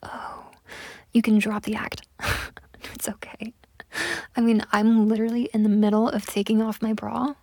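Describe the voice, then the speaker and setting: A woman's voice: on the high side, little pitch movement, moderate pace, pauses often, a little mellow, no room sound. A young woman voicing a pushy, entitled woman on a date in a one-sided audio roleplay, talking in a close, whispery way with lots of swearing. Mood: scoffing. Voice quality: husky, breathy, gravelly. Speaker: voice actor.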